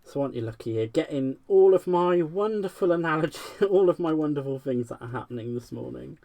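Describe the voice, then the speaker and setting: A man with a soft West Country accent hosts a live crafting stream. He chats along in a relaxed, self-deprecating way and laughs often as he works. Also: fairly high pitch, a little fast, vocal fry. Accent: West Country English. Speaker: craft livestream host. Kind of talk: casual live-stream chat while crafting.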